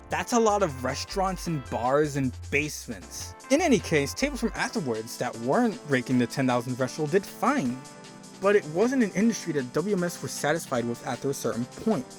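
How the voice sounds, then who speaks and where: A man narrates a scripted video essay in a slightly high voice. His pitch moves around in an animated way, and he slips in wry, chatty asides. Faint music plays behind him.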